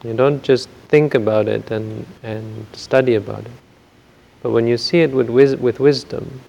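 Very quiet guy giving a dhamma talk, gravelly, kinda monotone, lots of uh's and restarts. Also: mid-range voice, moderate pace. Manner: gentle, hesitant, with frequent fillers and restarts. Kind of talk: soft-spoken Dhamma talk.

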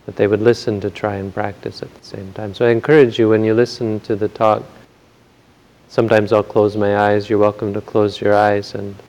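A man giving a Buddhist Dhamma talk in a very quiet voice, gentle and hesitant, with frequent pauses and restarts. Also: on the low side, level tone, poor audio. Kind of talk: soft-spoken Dhamma talk.

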